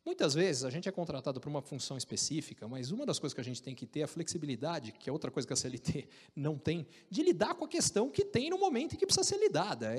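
A man with a Brazilian Portuguese accent telling an animated, joking story about his career, with frequent restarts. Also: sits high, breathy edge, in a large hall. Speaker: speaker recounting career story. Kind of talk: casual storytelling talk.

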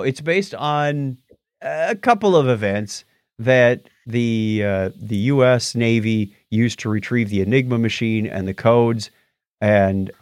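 A man's voice: mid-range voice, dry recording. Middle-aged man, dry close studio sound. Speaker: podcast co-host. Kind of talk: casual chat about films and TV shows.

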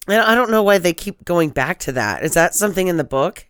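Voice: medium pitch, expressive, brisk, smooth, dry recording, studio quality. A speaker in casual movie-recap chat with friends, matter-of-fact and asking about the plot.

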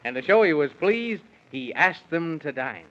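A man's voice: fairly high pitch, expressive, unhurried, fairly bright tone. A man narrating a children's story in rhyme with theatrical sing-song delivery, in the style of 1930s radio. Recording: dull, muffled 1930s radio recording.

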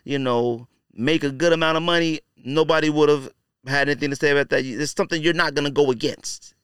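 Male podcaster, smooth and clean tone, dry close sound, casual slangy opinion delivery with blunt emphasis. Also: mid-range voice, a bit bright, no room sound. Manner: conversational and slangy, frequently saying "you know" and "man". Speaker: podcast host.

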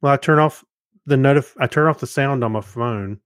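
A man's voice: mid-range voice, brisk, clear, resonant tone, dry recording. A middle-aged man with a mid-range voice co-hosts a podcast in a casual chat. He rambles through his points and quotes his own thoughts. The sound is close and dry. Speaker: podcast co-host.

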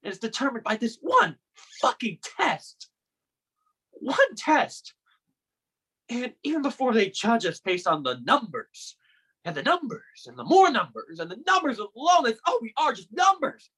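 Young man as a stammering teen boy, bright, husky and very up-and-down. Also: high-pitched, sing-song, normal speed, heavily acted, poor audio. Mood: outraged. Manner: stammering, emotional, voice breaking into outbursts. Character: distraught teenage boy Noah, anxious student. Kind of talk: student audio drama.

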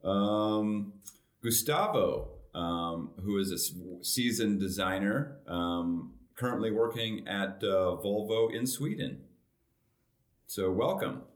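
A man's voice: fairly low pitch, takes it slowly. A man hosting a casual interview in an informal, meandering way. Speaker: podcast host. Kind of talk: casual, hesitant interview introduction. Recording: roomy, echoey voice recording.

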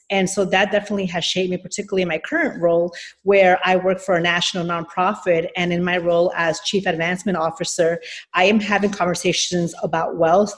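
A woman from New York City, a nonprofit executive, answering interview questions in a thoughtful, explanatory way with frequent restarts. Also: on the low side.

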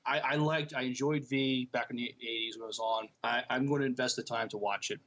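Mid-pitched man reading podcast news. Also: fairly even intonation, a little fast, a bit bright. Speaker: podcast co-host. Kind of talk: reading and discussing entertainment news.

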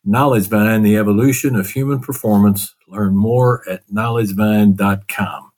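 A man's voice: fairly low pitch, fairly even intonation, normal speed, a little mellow. A man with a Texas accent hosting a podcast interview in an easygoing, friendly way. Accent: Texan. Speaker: podcast host.

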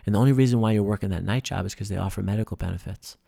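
A man with a low, gravelly voice, talking eagerly on a podcast. He explains things at length, with plenty of ums and uhs. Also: racing, warm tone. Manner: enthusiastic, explanatory, many fillers. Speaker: podcast guest, breathing workshop instructor. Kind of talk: casual podcast conversation.

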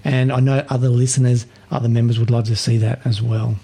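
A man hosting a podcast, hoarse and scratchy from a sore throat, talking in a relaxed, chatty way with small restarts. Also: mid-range voice, fairly quick. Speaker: podcast host. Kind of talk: casual podcast monologue.

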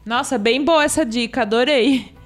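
A woman's voice: fairly high pitch, normal speed, soft background music. A woman co-hosting a podcast, friendly and agreeable. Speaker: podcast co-host. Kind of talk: casual podcast chat.

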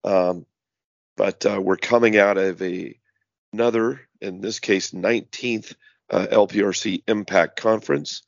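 Hoarse low-voiced man hosting a podcast. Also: steady tone, unhurried, no echo, highs cut off. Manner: rambling with many fillers and restarts. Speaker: podcast host. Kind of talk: casual podcast monologue.

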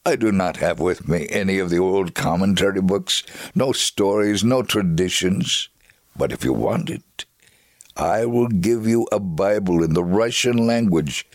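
Devout earnest man telling his life story, slow solemn dramatic reading, lowish and close dry sound. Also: dry recording. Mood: earnest, persuasive. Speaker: dramatic reader. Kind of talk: scripted dramatic reading of a memoir.

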